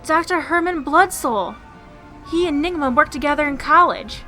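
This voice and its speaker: A woman plays a confident young crime-fighting heroine in a radio drama. Her voice is high and crisp-edged with brightness, and her delivery is brisk and matter-of-fact over music. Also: animated. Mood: focused. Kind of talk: radio drama acting and scripted narration.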